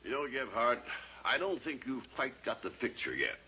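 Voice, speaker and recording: An actor playing a blunt, stubborn rancher and father who talks gruffly and plainly in a 1950s radio drama. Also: medium pitch, very animated, moderate pace, sharp tone, exaggerated voice, poor audio. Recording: old radio recording with faint hiss.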